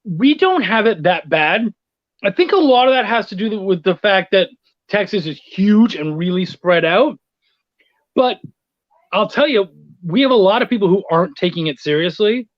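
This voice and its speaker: A middle-aged man with a distinctly high voice chats casually as a guest, telling anecdotes. He is blunt and swears freely. The sound is dull and lo-fi, with very little room. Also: expressive, moderate pace, no room sound. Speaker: interview guest, freelance writer.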